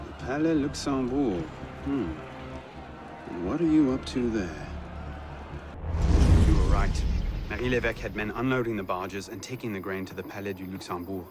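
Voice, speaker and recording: An actor playing a brisk, confident young investigator in a video game cutscene. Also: male voice, fairly low pitch, music in the background, natural voice. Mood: wry, intent. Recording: noisy outdoor city ambience.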